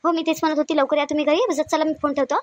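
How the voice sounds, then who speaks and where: A woman's voice: high voice, flat delivery, very fast, no room sound, muffled recording, lightly acted. A woman playing an anxious sister-in-law in a family drama, urgent and wordy, with a Varhadi Marathi accent. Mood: worried. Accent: Varhadi Marathi of Vidarbha. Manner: fretful, urgent, explaining at length. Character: anxious, gossipy young sister-in-law fretting over family. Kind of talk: amateur family comedy-drama dialogue.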